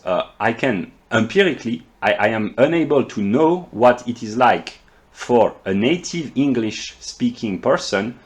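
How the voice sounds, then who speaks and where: Frenchman talking halting philosophy in English. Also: lowish voice. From France. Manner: halting, stammers and repeats words while searching for terms; measured, explanatory, professorial. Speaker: philosophy commentator. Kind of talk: unscripted philosophical monologue.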